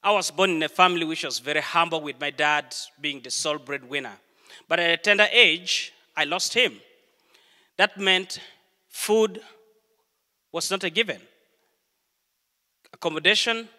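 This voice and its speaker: A middle-aged man preaches in a church, his bright, ringing voice carrying a long hall reverb. He speaks in a fairly high register, telling stories earnestly and pausing deliberately between phrases. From Uganda. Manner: earnest storytelling with deliberate pauses. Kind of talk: sermon.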